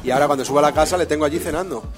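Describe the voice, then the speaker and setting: A man's voice: a little high, racing, music in the background. A man hosting a comedy radio show, playfully asking absurd questions. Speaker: radio host.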